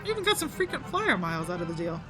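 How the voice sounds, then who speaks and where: A woman's voice: lowish voice, wildly swooping pitch, fast talker, music in the background. A woman playing a rich, bored, sardonic fixer with a blasé, wry delivery in an audio drama. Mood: bored, smug.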